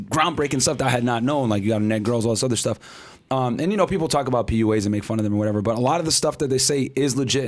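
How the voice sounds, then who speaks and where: A man in his thirties telling his story as a podcast guest, in casual, rapid talk full of fillers and acted-out quotes. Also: medium pitch. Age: 30s.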